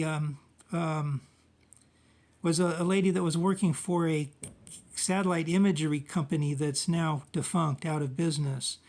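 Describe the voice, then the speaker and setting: A man talking informally through his own research in a halting, rambling way, often trailing off and searching for words. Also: on the high side, darkish tone. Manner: halting, hesitant, rambling with frequent trailing phrases. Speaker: amateur researcher presenting own video. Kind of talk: informal monologue recounting research.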